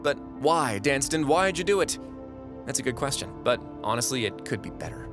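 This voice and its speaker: A man voices a mad god with a medium-pitched voice and a wry, breezy air. He monologues chattily and theatrically, audio drama style, with music clearly playing behind him. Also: animated, normal speed, close to an everyday voice.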